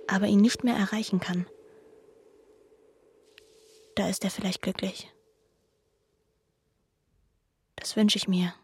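Hushed, even-toned, wistful woman, acted by a woman. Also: medium pitch, a little hiss or hum, natural voice. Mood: tender, sad. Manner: hushed, breathy, dreamy recounting. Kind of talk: literary radio drama monologue.

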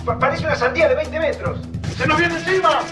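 An Argentine actor playing a frantic, foul-mouthed resistance fighter in a radio drama, shouting urgent commands amid music and gunfire. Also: male voice, very high voice, fast talker. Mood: urgent, alarmed. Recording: echoey, distant, loud music and gunfire.

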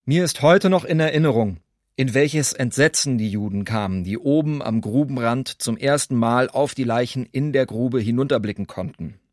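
A man's voice: medium pitch, normal speed, darkish tone, no room sound, clean studio sound, natural voice. A man playing a German perpetrator of wartime shootings, giving sober, self-pitying testimony in a documentary radio drama. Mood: sober, matter-of-fact.

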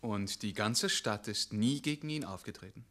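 An actor playing an earnest wandering knight in a radio play, courteous and resolute, with a deliberate, questioning manner. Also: male voice, lowish voice, bright, forceful tone. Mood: probing, sceptical. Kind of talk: theatrical radio play acting.

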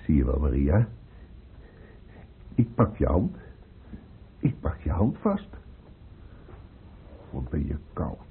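Deep-voiced, tender father. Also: very animated, heavily acted. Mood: tender, coaxing. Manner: halting, coaxing, repeats phrases. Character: tender, protective middle-aged father soothing his grown daughter. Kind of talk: radio drama acting. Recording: muffled, noisy old radio play recording.

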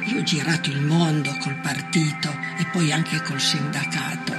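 A woman's voice: sits low, fairly even intonation, moderate pace. An older woman recalling her life in a reminiscing, interview style. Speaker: former farm labourer, partisan and union activist. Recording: music playing over the voice. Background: loud music.

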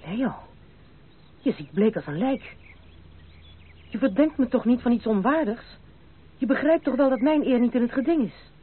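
A woman's voice: a little high, animated, moderate pace, pauses often, breathy edge. An actress playing a proud, independent young noblewoman in a radio play, speaking formally, firmly and with dignity. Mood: earnest, urgent. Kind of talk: old radio play acting. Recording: tinny, band-limited, hissy old radio recording.

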